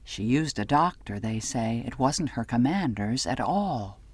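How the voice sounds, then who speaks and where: A woman voicing a secretive female companion in an audiobook, murmuring forbidden gossip in a hushed, confiding way. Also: a bass voice, expressive, measured pace, husky, dry recording, exaggerated voice.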